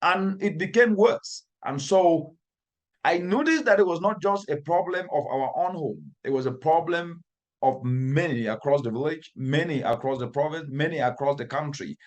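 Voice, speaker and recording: A man's voice: fairly high pitch, normal speed. A man from Cameroon with a Cameroonian accent, giving earnest, emphatic interview answers as an environmental expert and building his phrases through repetition. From northwestern Cameroon.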